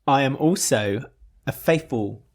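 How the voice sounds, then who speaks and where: A man's voice: medium pitch, animated, unhurried, darkish tone. A young man co-hosting a casual podcast chat, playful and laughing often as he rambles through plugs and jokes. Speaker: podcast co-host.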